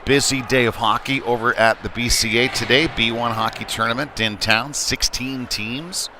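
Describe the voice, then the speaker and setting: A man giving live play-by-play commentary on ice hockey in a halting way, with frequent pauses. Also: mid-range voice, fairly even intonation. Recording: noisy live rink broadcast.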